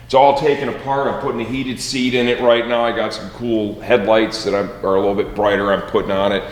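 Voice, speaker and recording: A man's voice: on the low side, little pitch movement, a little fast. Upbeat middle-aged man in an echoey garage. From Michigan, USA. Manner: upbeat, joking, asks leading questions. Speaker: host, team owner and father. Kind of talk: casual chat with his son. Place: large garage workshop indoors. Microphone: far camera, no mic seen. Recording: echoey, roomy garage sound.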